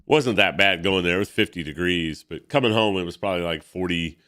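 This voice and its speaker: A congested man in his fifties talks casually about old comic books, wandering off track with plenty of ums and uhs. His voice is deep and smooth under the stuffiness. He speaks as a show host chatting with listeners. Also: a little fast, fairly bright tone. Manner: rambling, filler-heavy. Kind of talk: casual comic book reading.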